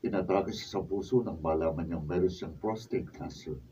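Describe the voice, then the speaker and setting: Insistent, protective eldest son, radio drama. Very dark tone, dull noisy recording. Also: male voice, low voice, moderate pace, husky, far from the mic. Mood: worried, insistent. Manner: firm, insistent.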